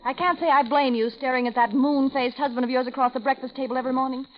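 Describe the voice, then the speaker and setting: An actress playing a scheming young wife who stammers coyly and turns defiant, in a 1940s radio drama. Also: female voice, high-pitched, steady tone, fast talker, natural voice. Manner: coy, breathless stammers turning sharp. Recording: dull old radio recording with faint hiss.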